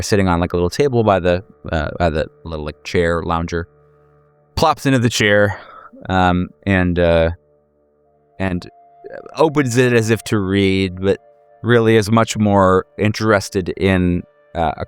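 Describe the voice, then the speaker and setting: A man narrating an improvised tabletop game as game master, halting as he searches for words. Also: low-pitched, clean tone.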